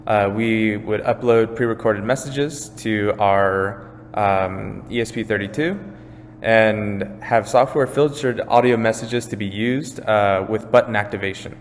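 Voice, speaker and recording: A young man presents slides in a fairly low voice. His voice rings in a reverberant space, with faint room noise underneath. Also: a little slow. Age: young adult. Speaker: student project presenter.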